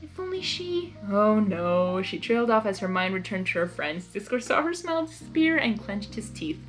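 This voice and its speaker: A woman's voice: medium pitch, animated, moderate pace. Woman reading fan fiction over music. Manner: reactive, informal. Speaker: story reader. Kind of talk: expressive fan fiction read-aloud with chatty asides.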